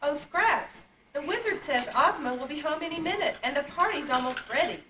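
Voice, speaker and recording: An eager little girl played by a woman in a puppet play, with a very high, sharp voice. She sounds excited and busy, recorded at a distance in an echoey room with faint noise. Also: normal speed. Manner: excited, exclamatory. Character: eager, busy young girl preparing a party. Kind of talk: children's puppet play acting.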